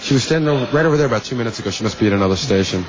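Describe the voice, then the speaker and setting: A man on a radio show, chiming in with quick, matter-of-fact information. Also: medium pitch, lively intonation, a crowd in the background. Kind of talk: casual radio banter. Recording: dull lo-fi tape, faint noise, mic sometimes off.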